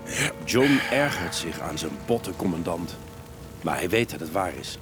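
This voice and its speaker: A man's voice: low-pitched, sing-song, unhurried. A man narrating a children's audio story in a dramatic, suspenseful, scripted style. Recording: music bed behind the narration.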